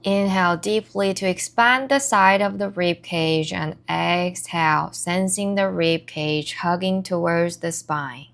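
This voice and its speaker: A woman leading a guided body scan meditation as an instructor, giving soothing, measured instructions in short phrases with pauses between them. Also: lowish voice, steady tone, vocal fry, a bit bright. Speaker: meditation and somatic movement instructor.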